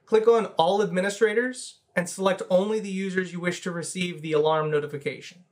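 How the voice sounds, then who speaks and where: A man in his thirties presenting a scripted, step-by-step software tutorial in a methodical, instructional way. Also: sits high, steady tone, a little fast.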